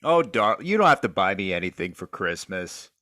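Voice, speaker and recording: A man plays a brash bandleader from an old radio comedy, slangy and fond. His pitch swings widely as he puts across lines in 1940s style. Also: very animated, normal speed, bright, forceful tone, heavily acted. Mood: doting, proud.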